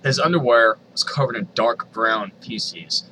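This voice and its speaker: A man's voice: mid-range voice, unhurried, bright, forceful tone, a little hiss or hum, low-quality recording. A man reading a story aloud dramatically, with exasperated, sardonic asides. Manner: exasperated, sardonic, swears freely. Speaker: reader of online fan fiction.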